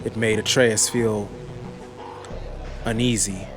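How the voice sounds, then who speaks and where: A man's voice: lowish voice, steady tone, takes it slowly, over music. A man reading scripted story narration as the narrator of a fantasy audiobook.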